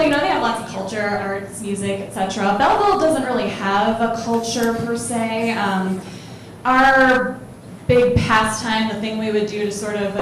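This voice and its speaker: A woman in her forties from Ohio doing a self-deprecating stand-up comedy set, chatty and full of ums. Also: mid-range voice. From Ohio, USA. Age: 40s. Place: comedy club stage, large room. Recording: roomy live club recording with noise.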